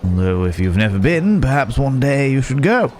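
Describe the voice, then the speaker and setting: An actor playing a lonely, courteous werewolf traveller in a fantasy ASMR roleplay, musing hesitantly in old-fashioned phrasing. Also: male voice, medium pitch, very animated, normal speed, darkish tone, natural voice. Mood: fond, hopeful. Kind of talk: immersive ASMR roleplay, improvised-sounding monologue. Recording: outdoor market crowd noise behind voice. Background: bustling village market ambience.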